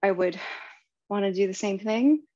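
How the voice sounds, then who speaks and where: A woman's voice: mid-range voice, moderate pace, warm tone, dry recording. A woman volunteering in an online class, talking casually and tentatively as she thinks aloud. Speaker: workshop volunteer. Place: online video call. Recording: dull lo-fi call audio, dry.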